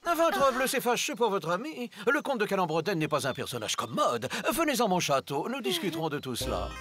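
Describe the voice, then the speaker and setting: A bright, high-voiced cartoon aristocrat, courteous and eccentric, who swoops through florid old-fashioned phrases. He is an excitable marquis, mannered to the last syllable. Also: a little fast. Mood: concerned. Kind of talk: cartoon voice acting.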